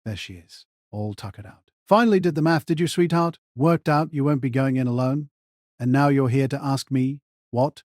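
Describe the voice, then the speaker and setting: A man's voice: medium pitch, normal speed, darkish tone, dry recording, clean studio sound, lightly acted. A man acting in an audio drama as a jaded, hard-drinking older mentor who is sardonic, needling and blunt. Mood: mocking.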